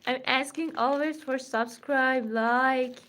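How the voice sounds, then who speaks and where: A woman from Iran with a Persian accent, talking slowly in a fairly high voice. She hosts a crafting livestream from her studio, using simple, halting English and often repeating herself. Her speech sounds plainly non-native. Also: fairly even intonation, a bit bright. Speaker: artist hosting a crafting livestream. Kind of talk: casual livestream chat.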